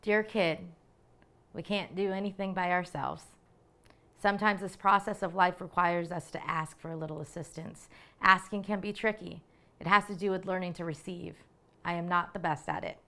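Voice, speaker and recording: A woman hosting a literature segment, introducing it and reading from her own novella in an expressive way. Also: lowish voice, moderate pace. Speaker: segment curator and host.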